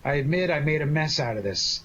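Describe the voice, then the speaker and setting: A man's voice: a little high, expressive, fairly quick, a bit bright, dull audio, slight background noise. A man playing a glib, wisecracking amateur sleuth in a 1940s-style radio detective drama, placating the others. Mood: rueful, defensive. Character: wisecracking, fast-thinking male amateur sleuth.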